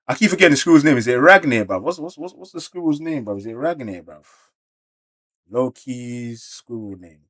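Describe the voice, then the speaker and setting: Male reaction creator, London British accent, casual and slangy, slightly rough-edged voice, excitable outbursts alternating with muttered comments. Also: mid-range voice, normal speed. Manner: slangy, animated exclamations mixed with muttered asides. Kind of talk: casual manga read-along reaction.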